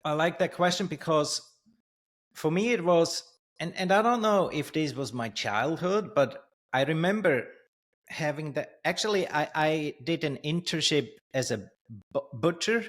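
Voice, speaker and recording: A man, a podcast guest telling his life story in a halting way over a video call. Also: fairly high pitch, animated. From Switzerland. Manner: halting, many restarts and fillers, laughs while telling stories. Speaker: guest, entrepreneur and coach. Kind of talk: storytelling in an interview. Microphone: studio mic on a stand, video call.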